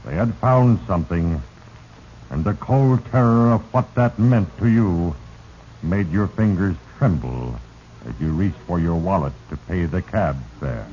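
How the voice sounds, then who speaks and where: Noisy old radio, sinister all-knowing narrator guy, very slow, dark mellow voice, heavy and ominous. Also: sits low. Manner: heavy-handed, ominous, deliberate. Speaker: host and narrator. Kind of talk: 1940s radio melodrama narration. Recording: noisy, dull 1940s radio recording.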